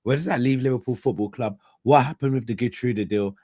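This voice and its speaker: Hoarse, congested man hosting. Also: medium pitch, fairly quick, warm tone. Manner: chatty, addresses viewers directly, restarts phrases. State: hoarse and congested, losing his voice. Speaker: host. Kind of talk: casual live-stream chat.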